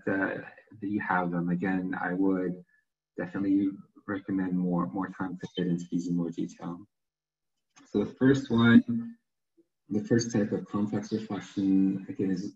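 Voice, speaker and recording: A soft-spoken man giving an online lecture, hesitant and often repeating his words, who checks in with "right?". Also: on the low side. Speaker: webinar presenter. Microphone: computer microphone on a video call. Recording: muffled video call audio.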